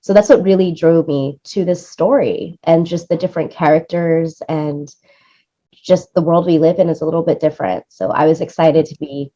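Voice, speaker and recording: Low-voiced woman chatting in an interview. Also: steady tone, moderate pace, mellow, dull audio. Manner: chatty, explanatory, often tags points with "right?". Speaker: interview guest, music supervisor and producer. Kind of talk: conversational interview talk.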